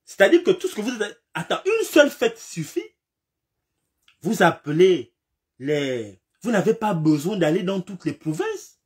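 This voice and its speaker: Animated, impassioned man with a cold. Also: high-pitched, measured pace. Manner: emphatic, repetitive, rhetorical questions, rising to shouts. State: has a slight cold. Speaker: political commentator. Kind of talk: impassioned political commentary.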